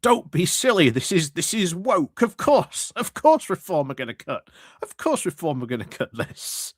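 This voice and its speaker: A man's voice: a little high, sing-song, normal speed, no echo, studio quality. A man giving sarcastic live commentary over a council meeting, mocking the speakers and laughing between his remarks.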